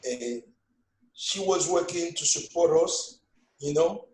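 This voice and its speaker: A man in his sixties from Haiti with a strong Haitian accent, telling stories in an animated way in a casual family conversation. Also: fairly high pitch, takes it slowly, reverberant, a little hiss or hum, rough recording. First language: Haitian Creole. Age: 60s. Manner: animated storytelling, broken English phrasing. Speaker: guest, father.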